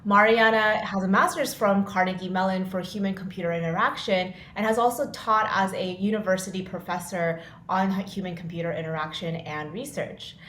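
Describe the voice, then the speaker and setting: A woman's voice: medium pitch, a bit bright. A woman hosting a podcast interview in an enthusiastic, informal way, moving through questions. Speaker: podcast host. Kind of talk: upbeat interview with quick questions. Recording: roomy echo, faint noise.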